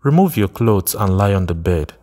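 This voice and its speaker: A man doing a kind, calm village doctor. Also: low voice, moderate pace, in a large hall. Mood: reassuring. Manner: sympathetic, reassuring.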